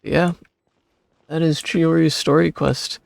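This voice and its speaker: A man with a gravelly voice giving casual, laid-back, halting game commentary, with faint music behind. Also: on the high side, flat delivery, dry recording. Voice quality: creaky, gravelly. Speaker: game streamer.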